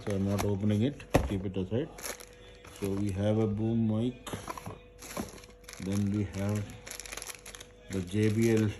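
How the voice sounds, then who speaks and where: Man, lowish voice, casual presenter feeling for words as he shows a product, noisy recording with packaging rustle. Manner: halting, searching for words, thinking aloud. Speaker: unboxing presenter. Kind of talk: casual unscripted product unboxing. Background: handling and crinkling of packaging.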